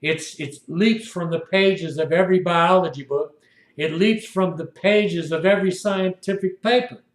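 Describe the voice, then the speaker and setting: A man's voice: sits high, moderate pace, roomy sound. A man in his sixties telling a long, indignant story in a rambling, repetitive monologue. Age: 60s. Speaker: video blogger. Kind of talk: rambling first-person monologue.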